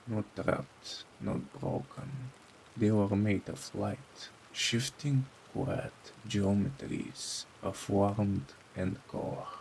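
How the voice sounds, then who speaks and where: A man narrating a scripted horror story in a solemn, dramatic way with deliberate phrasing. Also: lowish voice, creaky, slight background noise, low-quality recording. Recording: faint rain behind voice.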